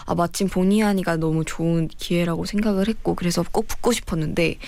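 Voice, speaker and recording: A woman's voice: on the low side, steady tone, quick pace, creaky, darkish tone, dry recording. A young woman from Ulsan, South Korea, chatting as a radio guest, telling anecdotes in a casual, talkative way.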